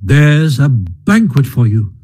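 A man voicing a cheery, bustling male water rat in a storybook reading, brisk and jolly. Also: mid-range voice, very animated, darkish tone, husky, heavily acted.

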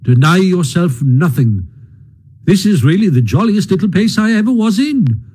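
Hearty storybook water rat, voiced by a man, raised pitch, husky edge, coaxing and brisk. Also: very animated, warm tone, exaggerated voice. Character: cheery bustling male water rat, hearty. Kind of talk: storybook reading.

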